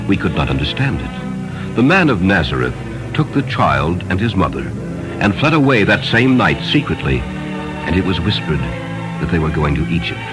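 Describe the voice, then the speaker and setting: A man voicing a gentle, timid young village mother in a storybook, speaking softly as she recounts. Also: sits low, big pitch swings, moderate pace, loud music behind the voice, low-quality recording. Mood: uneasy, fearful. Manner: soft, hushed storytelling.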